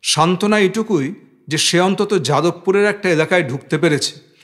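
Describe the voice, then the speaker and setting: High-pitched man narrating a novel. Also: normal speed, fairly bright tone. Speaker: audiobook narrator. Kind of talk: literary scripted narration of a novel.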